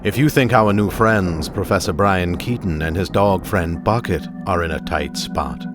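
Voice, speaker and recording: An actor playing a genial, portentous narrator of a 1930s radio serial, with a formal, grandiose and dramatic delivery. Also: male voice, low voice, normal speed, over music, lightly acted. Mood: pitching, genial. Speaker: narrator and host.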